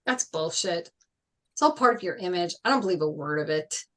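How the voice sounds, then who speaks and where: A woman playing a skeptical, dismissive high school boy in a table read of a film script. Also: animated, brisk, fairly bright tone. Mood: scornful, challenging. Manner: challenging, dismissive.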